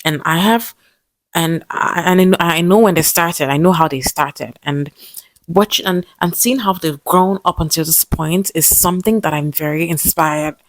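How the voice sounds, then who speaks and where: A young woman with a lisp talks in a soft, hesitant, personal vlog style and often restarts her sentences. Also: low-pitched, no room sound. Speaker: vlogger.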